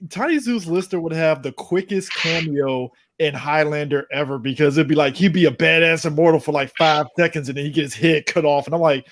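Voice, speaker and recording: A man's voice: fairly high pitch, brisk, few pauses, slight background noise. A man co-hosting a casual podcast over a call, joking and laughing often. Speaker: podcast co-host. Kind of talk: casual chat.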